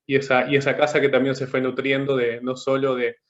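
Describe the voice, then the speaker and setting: Halting man, flat delivery. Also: mid-range voice, highs cut off. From Uruguay. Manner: thoughtful, halting, searching for words. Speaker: artist guest. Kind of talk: panel discussion. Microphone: video call.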